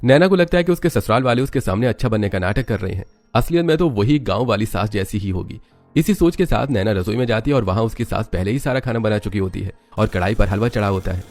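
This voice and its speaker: A man's voice: lowish voice, expressive, fast, darkish tone, faint music behind. A man narrating a scripted family story as a third-person storyteller.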